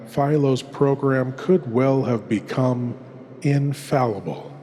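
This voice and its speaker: A man narrating as a theatrical horror anthology host, deliberate, ominous and wry. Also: medium pitch, darkish tone, slight background noise. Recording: long cavernous reverb.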